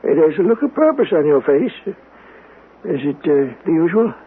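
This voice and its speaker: An actor playing a stubborn, haunted old uncle, crusty, halting and dismissive yet fond, in a radio drama. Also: male voice, fairly high pitch, sing-song, husky, full character voice. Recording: tinny noisy old radio broadcast.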